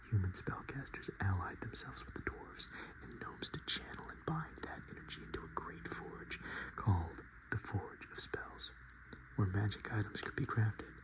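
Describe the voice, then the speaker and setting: A man speaks in a low, gravelly murmur that often drops into a whisper. He delivers softspoken ASMR as a host, unhurried and casual, with a self-deprecating touch. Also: low-quality recording.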